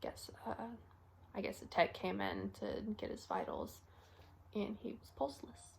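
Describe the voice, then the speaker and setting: Woman acting as weary young medical intern, creaky voice. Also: medium pitch, a little hiss or hum, natural voice. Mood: grieving, bitter. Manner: halting, conversational, confiding. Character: weary, frustrated young female first-year medical intern. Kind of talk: naturalistic audition monologue.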